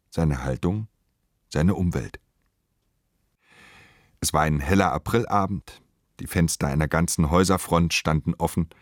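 A man giving a scripted literary narration for a radio play, measured and precise in his diction. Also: sits low, no echo, studio quality.

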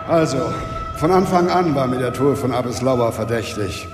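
A man acting an embittered, unyielding investigator in a radio crime drama, speaking deliberately and with emphasis. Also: medium pitch, expressive, music in the background, close to an everyday voice. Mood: bitter, confiding. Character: embittered, dogged investigator, persecuted but unyielding.

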